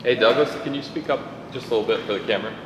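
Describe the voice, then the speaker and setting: A man's voice: mid-range voice, rapid-fire, lo-fi sound. A man organizing a meetup, making casual asides to the speaker and the audience. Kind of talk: audience interjection. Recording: echoey hall with noise.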